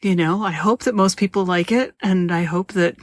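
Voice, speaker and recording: A woman with a lowish voice hosts a podcast in a very dry, close sound. She chats informally, thinking aloud and leaning on words for emphasis. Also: normal speed, dry recording. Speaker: podcast host. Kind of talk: casual interview chat.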